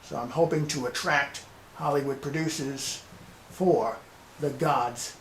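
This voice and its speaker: A man presenting his own scripts as a playwright, speaking deliberately in short, separate phrases like announced titles. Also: mid-range voice, steady tone. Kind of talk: semi-scripted video presentation. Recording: roomy echo with background hiss.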